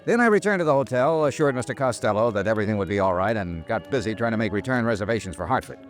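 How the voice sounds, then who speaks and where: An actor playing a tough, wry insurance investigator in a 1950s radio drama, with a clipped hard-boiled delivery. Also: male voice, lowish voice, lively intonation, very fast, faint music behind, natural voice. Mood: wry, matter-of-fact. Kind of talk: 1950s radio detective acting, first-person expense-account narration.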